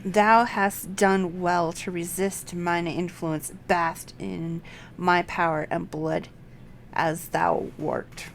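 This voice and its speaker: A woman voicing a vengeful ancient dragon, reading archaic game dialogue aloud in a loud, declamatory way. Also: lowish voice, vocal fry, poor audio. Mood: gloating.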